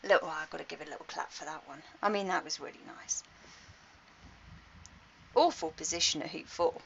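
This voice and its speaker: Female sports commentator, chatty and informal, creaky voice, muffled stream audio with faint noise. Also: sits low, normal speed. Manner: chatty, colloquial, exclaiming. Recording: dull lo-fi stream with background noise.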